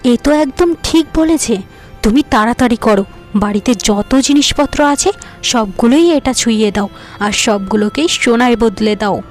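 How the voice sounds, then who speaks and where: A woman's voice: a little high, normal speed, faint music behind, natural voice. Woman voicing a bossy, greedy cartoon aunt. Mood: excited greed. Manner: harsh, bossy. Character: greedy, hot-tempered scolding aunt.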